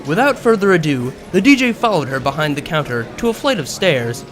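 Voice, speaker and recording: A man reading scripted fiction as an expressive narrator, audiobook style. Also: fairly high pitch, fairly quick. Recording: music behind the voice.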